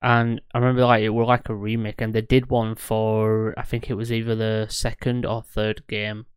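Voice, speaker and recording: A man's voice: fairly low pitch, completely flat delivery, moderate pace, smooth, no room sound, studio quality. A man co-hosting a casual podcast, blunt and sweary, laughing often. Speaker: podcast co-host.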